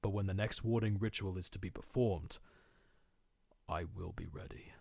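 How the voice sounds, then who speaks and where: A man's voice: low voice, normal speed, creaky, a little mellow, no echo, studio quality. A man playing a tormented, guilt-ridden diarist sinking into madness, in an intense, formal horror-game monologue. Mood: grim, cold resolve. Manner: theatrical, formal old-fashioned diction, intense. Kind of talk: dramatic monologue, video game horror fandub.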